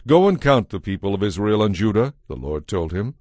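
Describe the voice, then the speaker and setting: A man narrating scripture from a script in a measured, solemn manner. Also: fairly low pitch, big pitch swings, darkish tone, no echo, clean studio sound.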